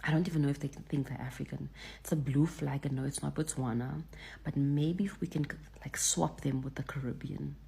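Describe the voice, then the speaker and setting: Hushed, husky, half-whispering voice. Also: mid-range voice, steady tone, a little fast.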